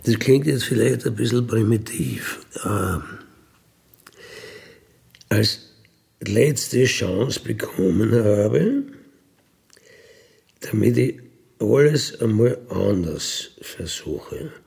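An older man with an Austrian accent telling a reflective personal story in a halting, colloquial way with little questioning tags. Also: on the low side, animated, gravelly, a little mellow. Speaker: former prisoner telling their life story. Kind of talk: reflective autobiographical monologue.

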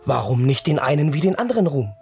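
A passionate, dreamy young prince in a vintage radio play. His voice sits a little high, and he swings from fervent speeches to hushed whispers, with soft music now and then behind him. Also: very animated, fast, creaky. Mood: impassioned. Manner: passionate, musing, shifts to whispers. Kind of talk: 1970s radio drama acting.

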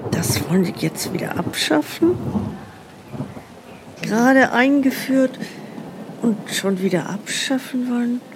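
A woman playing an anxious, forgetful elderly care-home resident in a radio play, hesitant and plaintive, with a creaky voice. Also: on the high side, animated, slow pace, long pauses, very noisy.

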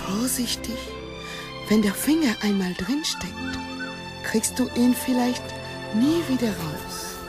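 A woman's voice: on the high side, expressive, takes it slowly, loud music behind the voice. A woman playing an enigmatic, seductive African dream figure in a radio play, teasing and mysterious. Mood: seductive, eerie.

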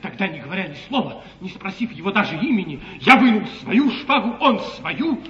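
Agitated young lover, high and forceful, melodramatic comedy style, distant echoey stage recording. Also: male voice, expressive, a little fast. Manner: breathless, melodramatic, urgent. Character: ardent, agitated young gentleman lover, impulsive. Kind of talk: classical stage comedy acting. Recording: distant, echoey, muffled 1950s theatre broadcast.

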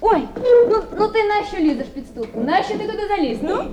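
A woman's voice: extremely high pitch, lively intonation, brisk, heavy background noise. An actress playing a poor, anxious village mother with a Ukrainian accent, rambling and plaintive in a naturalistic radio drama. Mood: flustered, scolding.

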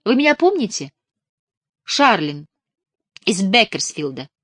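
A woman's voice: mid-range voice, sing-song, unhurried, fairly bright tone, no echo, clean studio sound, pitched above the performer's own voice. A woman voicing a naive young woman from the provinces, speaking timidly and unsure of herself.

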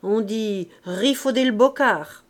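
A woman as a cocky street boy in a storybook reading, loud, slangy and bossy, slow-paced. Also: a little high. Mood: mocking. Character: cheeky streetwise Paris urchin boy.

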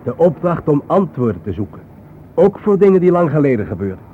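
An actor playing a solemn, reflective journalist in a radio play, speaking in grave, deliberate, philosophical phrases. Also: male voice, a little high, very animated. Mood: grave, urgent. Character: solemn, reflective male journalist haunted by war dead. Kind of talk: radio drama acting. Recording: thin phone-like old radio recording, faint noise.

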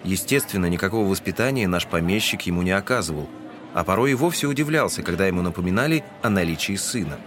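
A townsman telling a literary tale in an audio drama. His voice is low, with a wry, gossipy turn to the scripted narration. Music plays clearly behind him. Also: animated, fast. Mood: wry, storytelling.

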